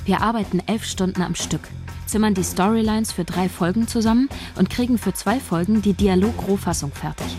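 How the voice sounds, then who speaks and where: A woman's voice: medium pitch, fairly quick, music in the background, close to an everyday voice. An actress playing a shy young woman scriptwriter who narrates her own story in a radio drama. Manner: inner-monologue narration, urgent in scenes. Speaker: narrator and protagonist.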